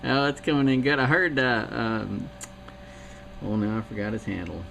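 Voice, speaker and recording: A man's voice: mid-range voice, steady tone, moderate pace, vocal fry, fairly bright tone. A man chatting on CB radio in a rambling way, with fillers and trailing thoughts. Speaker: CB radio operator. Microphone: CB radio mic. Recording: heavy radio static.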